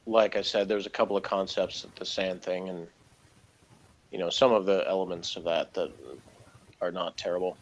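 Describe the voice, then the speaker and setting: A man's voice: deep voice, flat delivery, moderate pace, pauses often, dull audio. A man giving a casual, opinionated review in conversation, blunt and rambling in his criticism. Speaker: co-host of an episode review show.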